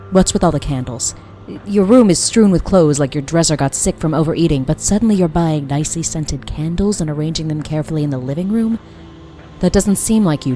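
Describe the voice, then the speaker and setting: Audio drama: actress as eerie supernatural old woman, very low, soft dark tone, scolding and deliberate. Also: natural voice. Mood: prying, chiding. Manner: intimate, deliberate, scolding, quietly menacing. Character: faceless old woman, a creepy nagging supernatural house haunter.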